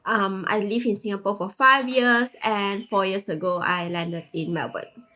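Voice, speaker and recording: A woman's voice: medium pitch, fairly even intonation, a little slow, bright, forceful tone. A woman in her thirties from Malaysia with a Malaysian English accent, a podcast guest chatting casually and laughing often between phrases. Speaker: guest, tech manager. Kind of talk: casual interview chat.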